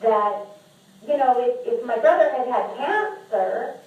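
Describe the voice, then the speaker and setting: A woman talks openly as a podcast guest. She sounds earnest and often restarts her sentences with repeated words. Her voice sits a little high and comes from away from the mic, in a strongly echoing room. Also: expressive, unhurried, highs cut off. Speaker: podcast guest and memoir author. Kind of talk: candid conversational interview. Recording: echoey room, distant mic.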